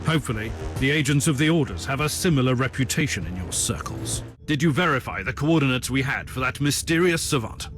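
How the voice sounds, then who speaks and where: A man playing a stern, impatient Inquisitor in a dark sci-fi video game, curt and commanding. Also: medium pitch, lively intonation, fairly quick, soft background music, natural voice. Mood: stern, businesslike. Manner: curt, authoritative, decisive. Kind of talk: scripted video game dialogue.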